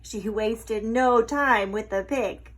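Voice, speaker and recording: A woman reading a picture book aloud as an expressive storyteller. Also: a little high, slow pace.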